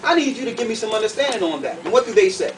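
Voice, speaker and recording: A man preaching to a group in an animated, colloquial way, emphatic and full of rhetorical questions. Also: high-pitched, racing, far from the mic. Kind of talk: animated sermon with questions to the audience. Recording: noisy room, picked up from afar.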